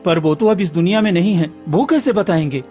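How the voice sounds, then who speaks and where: A man acts out a simple village man in a cartoon moral tale. He is earnest and remorseful, with a somewhat high male voice, and music plays behind him. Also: brisk, warm tone, natural voice. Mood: remorseful, pleading. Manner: pleading, earnest. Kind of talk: Hindi moral-story cartoon dialogue.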